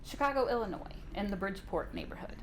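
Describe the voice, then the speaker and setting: A woman's voice: mid-range voice, lively intonation, quick pace, a little hiss or hum. A non-binary speaker with a woman's voice, in their fifties, from Missouri, reflecting in an interview. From Missouri, USA. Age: 50s. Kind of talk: documentary interview. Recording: roomy, distant mic.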